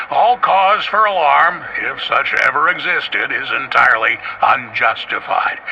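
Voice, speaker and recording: An actor playing a brisk, overconfident army captain making an official military report in a radio drama. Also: male voice, medium pitch, gravelly, pushed and bright, full character voice. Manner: clipped official, self-assured. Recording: dull radio-like sound, faint noise.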